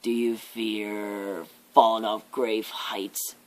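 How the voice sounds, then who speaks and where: A man voicing a menacing devil in an ASMR horror roleplay, with an ominous, drawn-out, theatrical delivery. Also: fairly low pitch, little pitch movement. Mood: taunting. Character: menacing devil, a living nightmare preaching fear and death.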